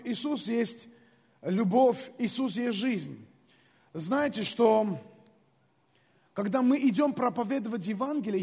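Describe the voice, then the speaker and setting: A man's voice: very high voice, a little mellow, slightly rough, big-room sound. A man preaching a sermon in a conversational way, repeating phrases and calling the congregation to respond. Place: large church hall.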